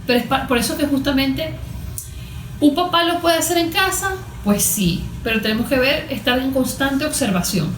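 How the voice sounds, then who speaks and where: A woman's voice: sits high, normal speed. A Venezuelan woman explaining things as a guest therapist in a conversational interview, often voicing little imagined examples. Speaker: guest expert, cognitive therapist. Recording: echoey, roomy sound.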